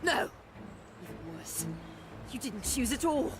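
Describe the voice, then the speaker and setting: A woman's voice: on the high side, big pitch swings, slow, background noise. An actress playing a proud, bitter, street-wise rebel woman in a fantasy game, cutting and commanding in her delivery. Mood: bitter, furious. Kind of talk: dramatic fantasy game voice acting. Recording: music behind the voice.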